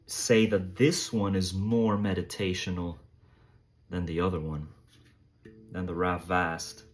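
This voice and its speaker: A man with a low voice giving a casual, thoughtful demonstration on a phone vlog, talking slowly with soft pauses. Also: flat delivery, over loud music. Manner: slow, thoughtful, reflective talk with soft fillers. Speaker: musician reviewing handpan drums. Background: tonal drum playing.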